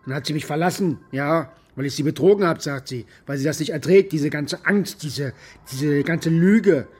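An actor playing a bitter, maimed former military contractor who rambles coarsely and defensively in a naturalistic radio drama monologue. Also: male voice, a little high, moderate pace, a little mellow, breathy edge. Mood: bitter, brooding. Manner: coarse, defensive, agitated rambling with rhetorical questions.

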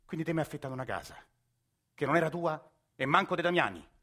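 An exasperated, insistent Roman family man in a radio drama. He speaks with a Roman accent in a bright, forceful voice pitched slightly high. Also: animated, fast talker, husky, exaggerated voice. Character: indignant working-class Roman family man. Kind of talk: radio theatre acting.

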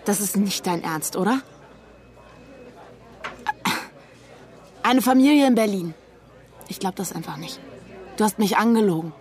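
An actress playing a hurt, candid young university student with emotional directness in a naturalistic radio drama. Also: female voice, mid-range voice, brisk, darkish tone, a faint crowd, natural voice. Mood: hurt, accusing.

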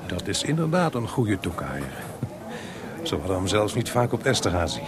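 An actor playing a kindly, calm older composer in a radio drama, speaking in a measured way. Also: male voice, sits low. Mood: relaxed. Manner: measured, reassuring. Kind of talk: Dutch radio drama acting. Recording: radio play with crowd murmur.

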